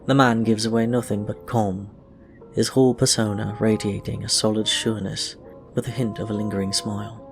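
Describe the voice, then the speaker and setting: Male story reader, lowish pitch, scripted fiction narration, soft music bed behind the voice. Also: little pitch movement, fairly quick.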